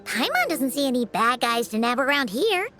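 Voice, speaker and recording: A woman's voice: high voice, sing-song, moderate pace. A woman voicing a tiny female fairy companion in a game, chirpy and eager, who speaks of herself in the third person. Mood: curious. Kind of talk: video game voice acting. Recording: game audio with soft music behind.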